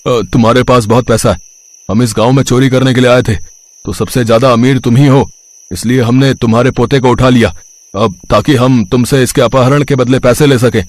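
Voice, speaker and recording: A man voicing a rough thief and kidnapper, a villain in a cartoon moral story. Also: medium pitch, a little mellow, no room sound, lightly acted. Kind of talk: Hindi animated moral story acting.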